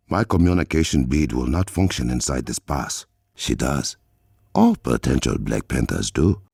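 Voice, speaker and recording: A man playing a noble, resolute warrior king in a video game, with an African accent, a deep gravelly voice and formal, measured diction. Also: no echo, studio quality, full character voice. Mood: urgent, determined.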